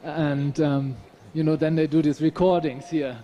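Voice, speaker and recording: A man's voice: on the high side, little pitch movement, mellow, dull audio, slight background noise. German-accented man reading to an audience. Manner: halting self-deprecating asides with many restarts. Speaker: novelist at a literary festival. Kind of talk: reading from his novel, then casual stage talk. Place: festival stage, audience present.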